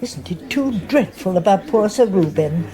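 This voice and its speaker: Woman acting an effusive, mellow socialite. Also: mid-range voice, expressive, moderate pace, close to an everyday voice. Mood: gushing, sociable. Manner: effusive, gushing. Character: sociable British society woman, effusive, chatty. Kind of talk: British radio drama acting.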